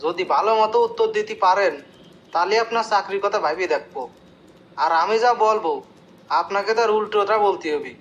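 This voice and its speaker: A man's voice: sits high, normal speed, a bit bright, dull audio, a little hiss or hum. A man playing a stern, bossy, easily exasperated job interviewer with formal questioning in a comic cartoon skit. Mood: formal, testing.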